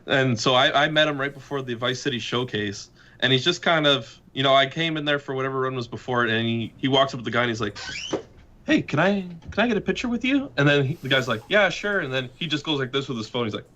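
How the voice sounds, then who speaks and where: A man's voice: medium pitch, lively intonation, fast talker, pushed and bright, dull audio. A man guest on a podcast, chatting casually and telling laid-back anecdotes, swearing freely and acting out what people said. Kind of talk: casual chat.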